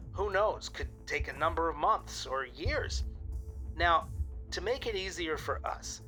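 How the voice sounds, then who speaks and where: A man playing a calm, polite federal wildlife agent speaking in a matter-of-fact, procedural way in an audio drama. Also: a little high. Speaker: special agent. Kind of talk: official phone conversation in audio drama.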